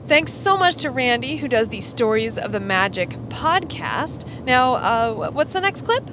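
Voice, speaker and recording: A woman's voice: on the high side, big pitch swings, moderate pace, background noise. A woman co-hosting a podcast in casual, conversational talk. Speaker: podcast co-host.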